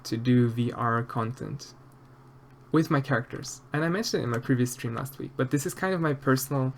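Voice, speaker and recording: Soft-spoken Austrian man, hesitant chat. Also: mid-range voice, brisk, slight background noise. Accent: Austrian German. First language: German. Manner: hesitant, many fillers and restarts, soft-spoken. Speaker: streamer answering chat while sculpting. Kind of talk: casual live-stream chat.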